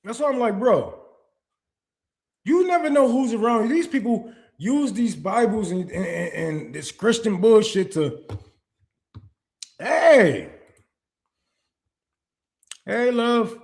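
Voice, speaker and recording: A man's voice: sits high, lively intonation, slow, creaky, pauses often, a little mellow. A man with a Detroit accent hosting a livestream, ranting with heavy profanity, then reading chat and thanking donors. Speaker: livestream host. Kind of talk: casual livestream commentary.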